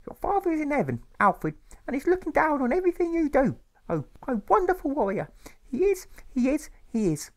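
A man's voice: extremely high pitch, huge pitch swings, brisk, voice pushed up, exaggerated voice. A man voicing a distraught, doting Edwardian mother, whimpering and shrieking with fervent patriotic pride. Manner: whimpering, shrieking, gushing, repetitive.

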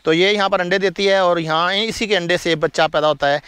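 A man's voice: high voice, steady tone, quick pace, a bit bright, clean tone. A man giving a casual walking tour of his farm outdoors, talking to viewers as he shows crops and animals. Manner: chatty, shows things to viewers as he walks. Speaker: farm vlogger. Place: outdoors on a farm among fields.

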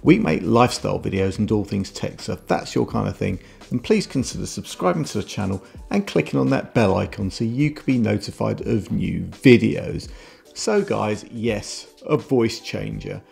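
A middle-aged man with a heavily creaky, gravelly voice presents a casual tech review. He speaks close to a desk mic at an ordinary male pitch, with music playing behind him. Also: lively intonation, moderate pace, a little mellow. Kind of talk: scripted casual product review. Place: home room with shelves, indoors. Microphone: desk microphone close in front. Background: background music.

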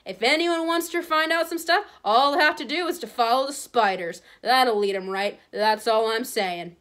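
A woman voicing a huge, gruff, loyal gamekeeper in a storybook, with blunt, rough rustic grammar. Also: mid-range voice, moderate pace, a bit bright, natural voice. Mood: defiant. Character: huge gruff loyal gamekeeper man, hot-tempered, pleading.

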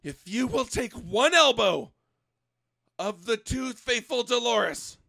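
A man plays a pompous, unhinged gentleman detective in a comic storybook reading. The voice is very high and creaky, leaping about with bombastic, foul-mouthed demands and bursts of shouting. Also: lively intonation, takes it slowly, no room sound, studio quality, exaggerated voice. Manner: theatrical, bombastic, swings into shouting.

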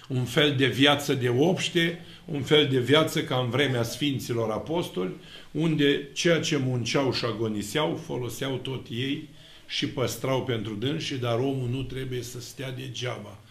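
Middle-aged priest preaching, slow stressed words, echoey church room. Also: male voice, mid-range voice, vocal fry. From Romania. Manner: emphatic preaching with slow, stressed words and lively hand gestures. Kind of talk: sermon. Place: church with icons, indoors. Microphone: fixed camera, mid shot.

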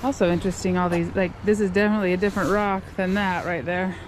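A woman's voice: on the low side, steady tone, quick pace. A woman in her thirties vlogging on a foggy lake shore, chatty and full of wonder. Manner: enthused, marvelling, chatty. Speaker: travel vlogger. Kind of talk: casual vlog narration. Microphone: handheld action camera. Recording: outdoor wind and water noise.

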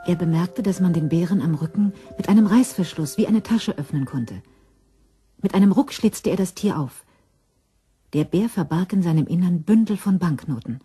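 A woman's voice: fairly low pitch, warm tone, husky. A woman narrator reading scripted narration for a crime radio drama.

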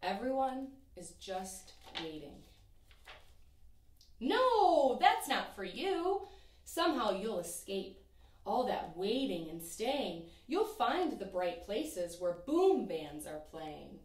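A young woman teacher reading a rhyming children's picture book aloud in an expressive, sing-song way. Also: medium pitch, slow, recorded from a distance. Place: small room in front of a painted backdrop. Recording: echoey room, voice from off-mic.

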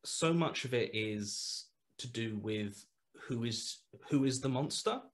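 A man in his thirties talking on a video call through a headset mic, rambling with many restarts and animated asides. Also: medium pitch, slow. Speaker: guest, horror podcast writer. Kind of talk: casual video call interview. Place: small home room indoors.

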